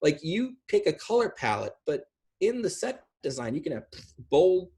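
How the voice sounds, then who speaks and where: A man in middle age with a fairly high, rough-edged voice that swings widely in pitch. He explains things in a casual, emphatic way, speaking over a video call. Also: normal speed. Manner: emphatic, animated. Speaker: speaker and co-host of online film panel. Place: room at home, indoors.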